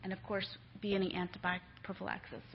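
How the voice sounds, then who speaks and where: A woman's voice: mid-range voice, completely flat delivery, fast talker, a little hiss or hum. A middle-aged woman, a nurse, giving a conversational conference talk to families, with many filler ums. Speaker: nurse speaker. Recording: dull lo-fi lecture recording.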